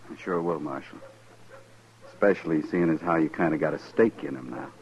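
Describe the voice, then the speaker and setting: An American actor playing a wry, folksy cavalry lieutenant in a 1950s radio western. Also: male voice, a bass voice, fairly quick, a little mellow. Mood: wry, knowing. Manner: folksy, understated, knowing. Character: wry, easygoing cavalry lieutenant of the old West. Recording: muffled, faintly noisy old radio recording.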